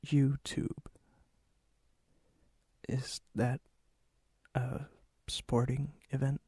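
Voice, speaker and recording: A man playing a charming, ancient vampire and hopeless romantic in a soft-spoken, often whispered ASMR roleplay, slow, intimate and courteous, with a breathy, husky voice. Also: medium pitch, level tone, dry recording, clean studio sound, exaggerated voice. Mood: puzzled, curious. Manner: slow, intimate, teasing, old-fashioned courtesy. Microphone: close mic, dry sound.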